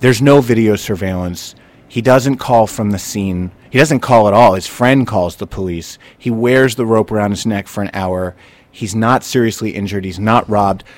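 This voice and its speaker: Lively, chatty male podcast host. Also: fairly low pitch, normal speed. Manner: animated, rambling storyteller with frequent restarts. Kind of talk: casual conversational chat.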